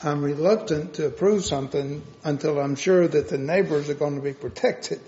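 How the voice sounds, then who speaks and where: Raspy board member, deliberate questioner, higher-pitched male, lo-fi. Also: mellow. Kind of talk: public hearing questioning. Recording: lo-fi hearing recording with faint noise.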